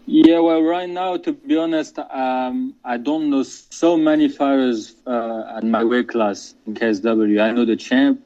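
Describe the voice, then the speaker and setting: A man answers in loose, halting bursts, stumbling over words and dropping casual slang. His voice sounds dark and mellow. The line comes through dull and lo-fi, as if over a remote call. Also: medium pitch, fairly even intonation. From France. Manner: halting, many restarts and fillers, casual slang. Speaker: MMA fighter.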